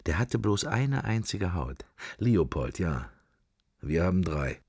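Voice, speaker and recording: Middle-aged man thinking out loud, low husky voice, sardonic, wandering radio play monologue, very dry close sound. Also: big pitch swings, takes it slowly, no echo, full character voice. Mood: dreamy musing. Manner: wandering, clipped musing, sardonic.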